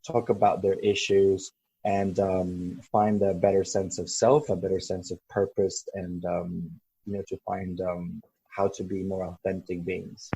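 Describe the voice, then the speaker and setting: A man from El Salvador, a coach being interviewed, speaking thoughtfully with many pauses and ums. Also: sits low, flat delivery, mellow, breathy edge, no echo, rough recording. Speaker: guest, coach. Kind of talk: podcast interview.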